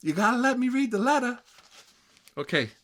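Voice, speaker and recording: A man's voice: very high-pitched, very animated, normal speed, a bit bright, exaggerated voice. A man playing a whiny, foul-mouthed grown son who lives with his mother, complaining and rambling in a comedy sketch. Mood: grumbling. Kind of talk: improvised comedy roleplay sketch.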